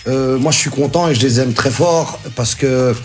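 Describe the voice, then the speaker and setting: Relaxed young man, faint music behind. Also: mid-range voice, normal speed. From Belgium. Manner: relaxed, many fillers and restarts, repeats short phrases. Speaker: guest musician. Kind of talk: casual interview talk.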